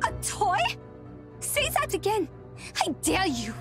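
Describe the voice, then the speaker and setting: Very high female voice, young chivalrous soldier, proud, formal, earnest, video game drama. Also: unhurried, music in the background. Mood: indignant. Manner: earnest, formal, stately phrasing. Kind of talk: English game voice acting.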